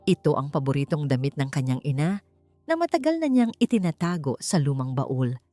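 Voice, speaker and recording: A woman's voice: deep voice, sing-song, a little fast, warm tone, dry recording. A woman narrator reading a scripted story in a dramatic storytelling style. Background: background music.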